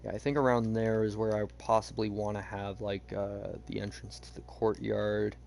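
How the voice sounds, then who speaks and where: A young man chatting casually while he plays a game, rambling with lots of fillers, his voice a bit hoarse. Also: lowish voice, level tone, normal speed, darkish tone, a little hiss or hum. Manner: rambling, hesitant, many fillers. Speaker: streamer. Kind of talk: casual gameplay chat.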